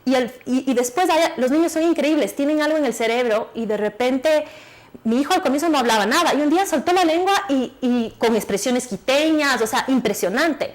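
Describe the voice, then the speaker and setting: Guest author: woman, 30s, bit high voice, quick animated chat with lots of restarts. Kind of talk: TV interview, casual chat.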